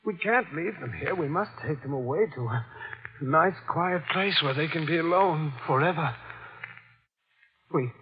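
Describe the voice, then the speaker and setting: An actor playing an unhinged, grieving, jealous husband in a 1940s radio thriller, menacing and deliberate. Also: male voice, a little high, noisy background, close to an everyday voice. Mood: eerily calm. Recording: muffled old-time radio recording.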